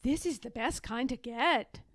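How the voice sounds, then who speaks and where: Storybook schemer, voiced by a woman: boastful little girl, high voice, knowing and persuasive delivery. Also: measured pace. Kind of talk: children's storybook read-aloud.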